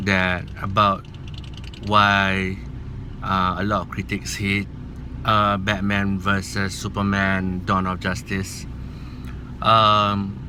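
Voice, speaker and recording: A man's voice: lowish voice, pushed and bright, a little hiss or hum. A man with a Malaysian accent, whose first language is Malay, giving his opinion in a casual movie vlog in an earnest, rambling, hesitant way. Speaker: movie vlogger.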